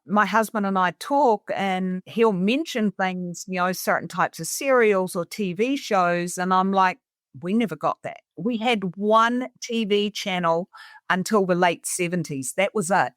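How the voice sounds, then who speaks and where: A woman's voice: mid-range voice, unhurried, a bit bright, no room sound. A middle-aged woman from New Zealand speaks with her native accent in a clean, resonant voice. She talks conversationally as an interview guest, and the sound is dry and close. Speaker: interview guest, cabin resort owner. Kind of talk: conversational personal storytelling.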